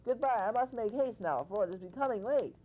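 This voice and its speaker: A man's voice: very high-pitched, a little fast, a little mellow, rough recording. A man voicing a sweet, kind little girl in a read-aloud fairy tale, polite and eager.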